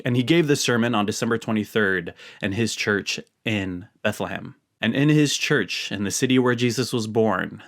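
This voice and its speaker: An American man speaking earnestly and emphatically, as a host giving religious commentary straight to his viewers. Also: fairly low pitch. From United States. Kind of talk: earnest talk to camera.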